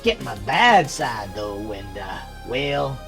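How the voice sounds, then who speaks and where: A man's voice: mid-range voice, big pitch swings, takes it slowly, creaky, heavily acted. A man playing a folksy, sly and menacing uncle who hosts a horror show with theatrical relish. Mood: gleeful, sly menace. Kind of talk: in-character horror anthology hosting. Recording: music underneath.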